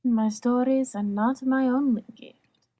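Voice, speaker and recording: A woman playing an alluring, worldly courtesan who tells tales in a soft, measured, theatrical way for an audiobook drama. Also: fairly high pitch, fairly even intonation, dry recording. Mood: coy, seductive.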